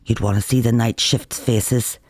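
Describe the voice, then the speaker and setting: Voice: mid-range voice, fairly even intonation, a little slow, darkish tone, husky, no echo. Wry Northern Irish storyteller, dry close recording. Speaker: author reading own novel. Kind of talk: dramatic literary audiobook narration.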